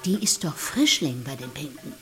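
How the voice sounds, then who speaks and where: Feisty old granny, low voice, swooping intonation, colloquial and theatrical, children's audio drama, light music behind. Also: female voice, expressive, moderate pace, pushed and bright. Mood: relieved, puzzled. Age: older. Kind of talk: children's radio play acting.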